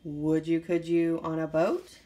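A woman's voice: low voice, little pitch movement, unhurried, dark tone, a little hiss or hum. A woman teacher reading a children's story aloud expressively, with rhythmic phrasing and acted dialogue. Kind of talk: expressive picture-book read-aloud.

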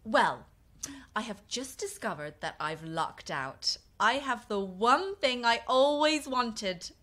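Theatrical, animated lady novelist. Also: fairly high pitch, slow pace. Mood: grandly pleased. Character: theatrical, self-absorbed romance novelist woman, cheerfully oblivious. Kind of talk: audio drama acting.

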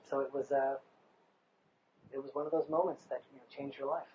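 A man's voice: medium pitch, level tone, normal speed, dark tone, dull audio, slight background noise. A man in his thirties from the United States, speaking passionately in an outdoor interview on a hilltop overlook, recorded with a lapel mic. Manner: passionate, emphatic, rhetorical.

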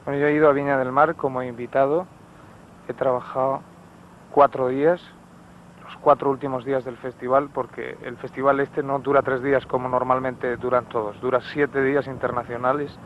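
A young man from Spain, a singer answering press questions in an easy, measured way with some fillers, recorded on an interviewer's handheld mic. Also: medium pitch, slight background noise. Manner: relaxed, measured replies with fillers. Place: recording studio, later outdoors at an airport. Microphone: handheld mic held by interviewer. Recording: muffled 1970s TV film sound.

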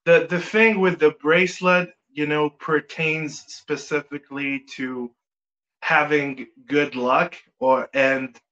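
Slow halting Israeli man, lo-fi. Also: fairly high pitch, flat delivery, pushed and bright. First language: Hebrew. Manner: halting, many restarts and fillers. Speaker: guest. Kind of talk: casual interview chat.

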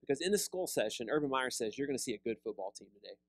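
A pastor in his thirties preaching a sermon, seated with a handheld mic, animated and conversational, often repeating words as he restarts. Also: mid-range voice, racing, no echo. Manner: animated preaching with big hand gestures, rising loud on emphasis. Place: church fellowship hall, seated on a stool.

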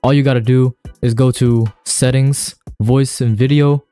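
A man narrating a casual software tutorial in a slangy, enthusiastic way. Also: medium pitch, steady tone, unhurried. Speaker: YouTuber host. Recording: music under the voice. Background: background music.

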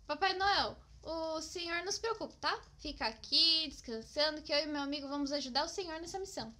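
Woman doing bossy eager brazilian cartoon girl, really high bright voice. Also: animated, brisk. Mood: eager, helpful. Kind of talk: children's cartoon voice acting.

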